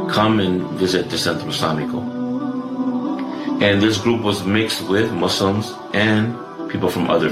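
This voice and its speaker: Low-voiced, calm male community speaker. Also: creaky, roomy sound. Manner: measured, soft-spoken, calm. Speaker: speaker at an Islamic center. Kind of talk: address to the community.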